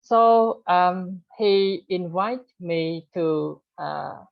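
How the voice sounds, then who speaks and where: Slow hesitant man. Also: sits high, vocal fry. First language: Khmer. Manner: hesitant, many pauses and fillers. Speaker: speaker at an English learners' group. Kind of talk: informal talk to an online group. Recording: dull lo-fi recording.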